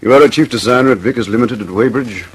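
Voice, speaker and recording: An actor in an old radio drama playing a stern, formal senior police official questioning someone. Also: male voice, medium pitch, fast talker, husky, lightly acted, rough recording. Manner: formal, interrogating. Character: stern, official male police deputy commissioner.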